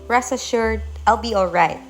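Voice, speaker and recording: Woman playing a polite, higher-voiced young lady. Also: normal speed, close to an everyday voice. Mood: polite, reassuring. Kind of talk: student radio drama acting. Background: faint music.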